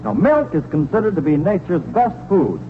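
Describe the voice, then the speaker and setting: Eager high-pitched 1940s radio salesman. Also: big pitch swings, normal speed. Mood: cheerful, selling. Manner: smooth-talking, eager salesman. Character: chirpy, relentless salesman neighbour pitching malted milk. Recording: muffled, noisy 1940s radio broadcast.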